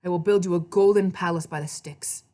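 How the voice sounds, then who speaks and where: A woman's voice: on the low side, steady tone, a little fast, dark tone, lightly acted. A woman storyteller voicing a brooding, agitated male god of the underworld who pleads urgently. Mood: anxious, pleading.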